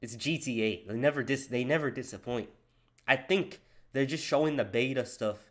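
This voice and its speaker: A man's voice: medium pitch, moderate pace. A man streaming live, reacting to a wrestling video and reading out donations in loose, slangy chatter. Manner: loose slangy chatter, says bro a lot.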